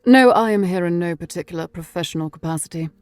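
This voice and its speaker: Woman as a cultured British gentleman, lowish voice. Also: quick pace, no room sound, faint music behind, close to an everyday voice. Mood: polite, composed. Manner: precise, courteous, aloof. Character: cultured, formal, unruffled British gentleman barrister. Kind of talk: audiobook character voice.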